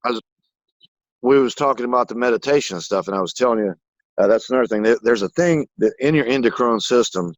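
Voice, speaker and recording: A man in a casual spiritual conversation who rambles excitedly and swears casually. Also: mid-range voice, fast talker, dry recording, highs cut off.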